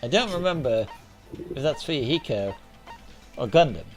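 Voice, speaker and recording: A man's voice: mid-range voice, very animated, normal speed, soft background music. A man whose first language is Dutch, casually commenting on a game he is playing, hesitant and swearing now and then. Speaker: game streamer. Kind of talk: casual let's-play commentary.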